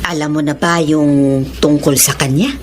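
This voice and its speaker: A woman's voice: low-pitched, fairly even intonation, normal speed, slight background noise, natural voice. An actress playing a candid, concerned elderly mother in a Filipino radio drama, speaking in a frank, motherly way.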